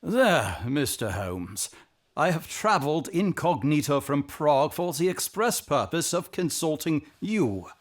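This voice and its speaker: A man playing a proud, anxious king in an audio drama, with a pompous, formal and sometimes halting delivery. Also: on the high side, sing-song. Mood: flustered, proud.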